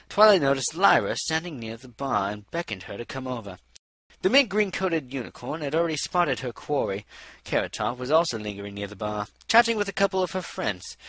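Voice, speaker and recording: A man's voice: mid-range voice, fairly bright tone, no room sound. A man reading a scripted story as an audiobook narrator.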